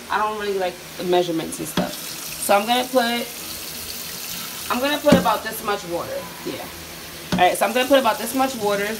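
A young woman filming a cooking video on her phone in her home kitchen, chatting to viewers in a relaxed, slangy way. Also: medium pitch. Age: young adult. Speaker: cooking vlogger. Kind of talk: casual cooking demo. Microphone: handheld phone camera. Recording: sizzling pan noise behind. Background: oil frying and sizzling.

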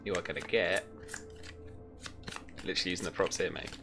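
A man's voice: sits low, steady tone, brisk. A young man speaking as game master, running a tabletop roleplaying game over music. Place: indoor room at home, video call. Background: background music.

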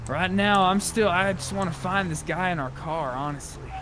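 A man's voice: sits high, normal speed, a bit bright, a little hiss or hum. A man chatting casually in character during an online roleplay game. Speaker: roleplay gamer.

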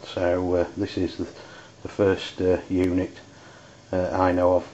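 A man with an English accent giving an unscripted product review in a hesitant, halting way. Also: low voice, monotone, a little mellow. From United Kingdom. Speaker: amateur radio reviewer. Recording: dull lo-fi audio with background noise.

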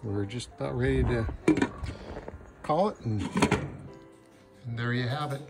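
An older man hosting a home cooking show, explaining each step in a relaxed way with frequent pauses, in a kitchen and then out at a backyard grill. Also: mid-range voice, creaky. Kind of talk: casual cooking demonstration. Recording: music playing underneath.

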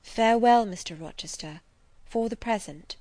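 A woman's voice: a little high, normal speed, mellow, no room sound, pitched above the performer's own voice, natural voice. A woman voicing a polite but firm young governess in a dramatised classic novel, respectful, composed and formal. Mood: reserved.

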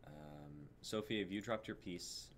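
A man's voice: lowish voice, a little slow, creaky. A man in his twenties casually hosting a live stream on a group video call. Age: 20s. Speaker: stream host.

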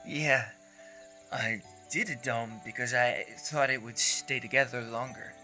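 A man's voice: medium pitch, fairly even intonation, unhurried, bright, forceful tone, faint music behind. A man playing an eager, awkward, lovestruck amateur poet in a horror audio drama, earnest and nervous. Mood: eager, proud.

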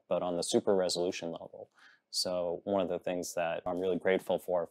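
Deep-voiced young American man, earnest. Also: darkish tone, dry recording. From Massachusetts, USA. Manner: earnest, upbeat, frequent ums. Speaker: new faculty member, panelist. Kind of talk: panel discussion. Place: television studio set.

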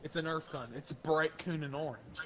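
A young man casually telling stories to friends while gaming, slangy and animated as he acts out the dialogue. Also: on the high side, normal speed, heavy background noise. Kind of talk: casual storytelling among friends during online game.

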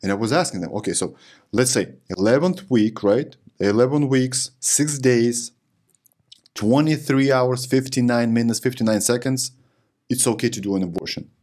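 A man in his thirties with a Lithuanian accent hosting a studio podcast, talking in a halting way and searching for words, close to a desk mic. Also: medium pitch, darkish tone. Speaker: podcast host. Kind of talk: podcast interview. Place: studio with green curtains. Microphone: desk podcast mic on boom arm.

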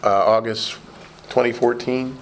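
A hoarse man chairing a committee meeting, talking haltingly with many fillers and restarts in a large meeting room. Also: mid-range voice, fairly even intonation, a little hiss or hum.

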